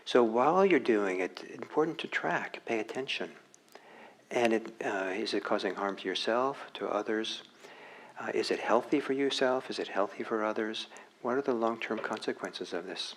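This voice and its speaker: A lower-voiced man giving a meditation talk, unhurried and reflective. He speaks haltingly, often breaking off and restarting his phrases as he thinks aloud. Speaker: meditation teacher. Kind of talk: dharma talk. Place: meditation hall.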